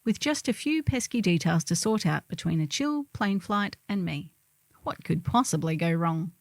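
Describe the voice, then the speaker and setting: A woman's voice: lowish voice, animated, moderate pace, no room sound. A middle-aged woman reading a humorous first-person column in a wry, theatrical storytelling manner.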